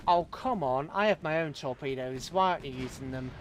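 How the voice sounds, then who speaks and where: A man's voice: on the high side, a little fast, a little hiss or hum. A British man casually commentating a strategy game on a livestream, chatting with viewers and muttering rambling asides to himself as he plays.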